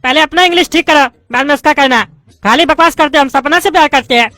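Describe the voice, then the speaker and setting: A voice actor doing a squeaky, touchy bald politician. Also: bright, forceful tone, no room sound, faint music behind. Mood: scolding. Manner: indignant, rapid-fire arguing and lecturing. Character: bald loudmouth male politician student, touchy and argumentative. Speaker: adult student in a class.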